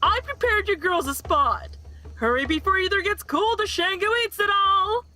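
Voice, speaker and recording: Woman playing a very high, bright cook goddess. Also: moderate pace. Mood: cheerful calling. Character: bright, motherly cook goddess calling folk to eat. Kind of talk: audio drama acting.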